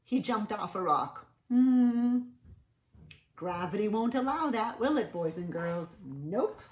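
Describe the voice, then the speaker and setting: A woman reads a storybook to small children in a roomy, echoing space. Her voice swings widely as she stretches words for emphasis and checks in with her listeners. She takes it slowly. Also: mid-range voice, highs cut off. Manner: animated, sing-song, drawn-out emphasis. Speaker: children's read-aloud storyteller. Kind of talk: expressive picture-book reading with questions to young listeners. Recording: roomy, echoing indoor sound.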